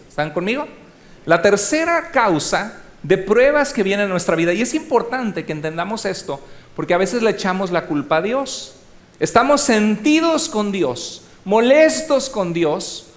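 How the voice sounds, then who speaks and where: Male pastor, fairly high voice, emphatic sermon delivery with rhetorical questions and hushed asides. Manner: swings between loud exhortation and soft asides.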